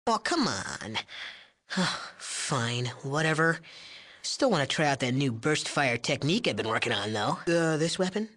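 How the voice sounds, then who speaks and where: An actor playing a sleazy, cocky young drug chemist in a video game, with a nasal, whiny voice and smug, sarcastic, slangy delivery. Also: male voice, on the high side, sing-song, measured pace, fairly bright tone, heavily acted. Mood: sleazy, grudging. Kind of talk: video game character dialogue.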